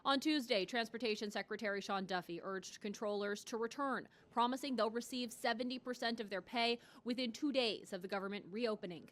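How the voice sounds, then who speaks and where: A woman's voice: on the high side, fairly quick, hardly any pauses, a bit bright. An American woman narrating a scripted television news report as a national correspondent.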